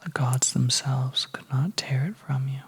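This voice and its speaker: A storyteller narrating a suspenseful ASMR tale in a soft, breathy whisper, hushed and gentle with pauses. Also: mid-range voice. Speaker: audio storyteller.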